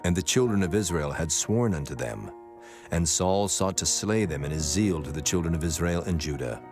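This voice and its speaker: A man narrating a dramatized scripture reading in a solemn, measured manner. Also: low voice, lively intonation. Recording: prominent music behind the voice.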